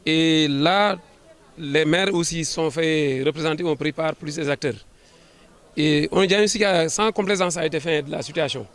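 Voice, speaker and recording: A man with a Senegalese accent answering an interview as a government official, in formal administrative phrasing, often halting and repeating words. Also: a little high, fairly bright tone. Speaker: government official, sub-prefect.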